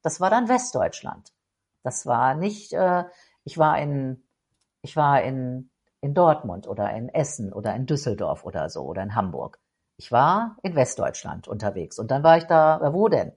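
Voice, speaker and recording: A middle-aged woman from Berlin speaks in a low, deep register, answering interview questions at length. She pauses often, fills gaps with hesitations and restarts her sentences as she gathers her thoughts. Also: big pitch swings, darkish tone, husky. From Berlin, Germany. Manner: hesitant, many fillers and restarts. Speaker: interview guest. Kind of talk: reflective conversational answers.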